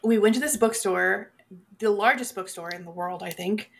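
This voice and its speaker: The co-host on a casual movie podcast who wanders off on tangents, a woman with a gravelly fry in her voice. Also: mid-range voice, moderate pace. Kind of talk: casual chat about horror movies.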